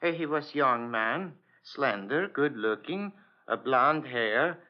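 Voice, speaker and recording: Obliging Scandinavian ship's purser, halting broken English, mid-pitched, dull vintage radio sound. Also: male voice, lively intonation. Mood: helpful, earnest. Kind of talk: 1940s radio drama acting. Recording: dull old radio recording.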